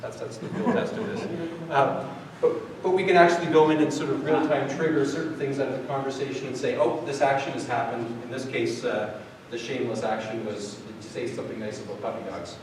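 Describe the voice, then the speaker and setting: A man talks an audience through a live software demo, full of fillers and restarts. He is heard from a distance in a very echoey hall. Steady background noise runs under his voice. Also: medium pitch, a little fast, hardly any pauses. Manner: rambling, lots of fillers and restarts. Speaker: product demo presenter. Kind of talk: live tech demo, casual. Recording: echoey hall from far off, noisy.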